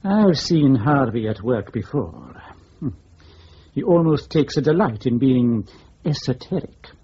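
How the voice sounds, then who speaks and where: An actor playing a disgruntled, formal section leader in an intelligence service, speaking hesitantly in a radio drama. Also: male voice, mid-range voice, big pitch swings, a little slow, dark tone, husky, full character voice. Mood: resentful. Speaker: senior intelligence officer. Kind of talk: broadcast radio drama acting. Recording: muffled radio recording, faint hiss.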